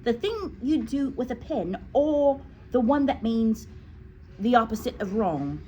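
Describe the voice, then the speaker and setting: Storybook schoolboy, played by a woman: high, eager, brave, very lively pitch, faint room noise. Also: measured pace, lo-fi sound. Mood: eager, cheeky. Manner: piping, eager.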